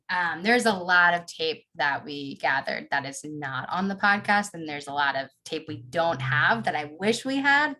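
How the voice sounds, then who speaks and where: A woman podcast producer on a discussion panel, talking through practical advice with pauses and thinking aloud. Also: deep voice, pushed and bright.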